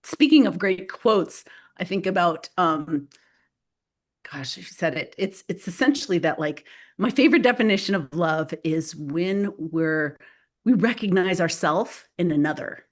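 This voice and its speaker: A woman's voice: on the low side, animated, a little slow. A woman whose first language is Spanish, a guest author talking loosely in an interview with many fillers and restarts. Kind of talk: casual spoken interview.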